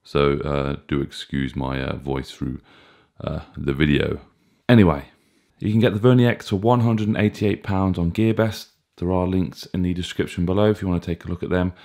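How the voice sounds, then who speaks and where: A man in his thirties with a chesty, hoarse cold voice, casually reviewing a gadget with plenty of fillers and restarts. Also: low-pitched, normal speed, few pauses. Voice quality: gravelly. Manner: relaxed, plain talk with frequent fillers and restarts. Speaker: tech reviewer.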